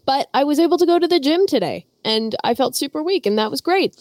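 A young woman co-hosts a casual podcast and trades jokes with her partner. She is recovering from a head cold. Her voice sits fairly high and jumps around a lot, recorded dry and close. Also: lively intonation, fast talker, a little mellow, dry recording. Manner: playful and teasing. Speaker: podcast co-host. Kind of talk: casual banter.

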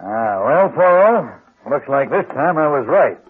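An actor playing a brash, impatient police inspector who talks bluntly and emphatically in a 1940s radio drama. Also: male voice, on the high side, big pitch swings, a little slow. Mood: suspicious. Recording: muffled, scratchy 1940s radio recording.

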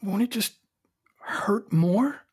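A young emperor of an audio drama, angry and grieving underneath his pride. His voice is heavily breathy and husky, and he speaks in brooding, formal, clipped phrases. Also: sits high, slow, full character voice. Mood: distraught. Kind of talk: audio drama acting with first-person narration.

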